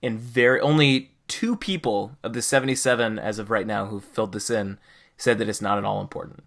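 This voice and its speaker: A man with a clearly bright, forceful voice talks casually as a podcast host. His delivery is enthusiastic and emphatic, wandering through his thoughts in a loose, conversational way. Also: on the low side, steady tone, brisk. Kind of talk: casual chat about a game.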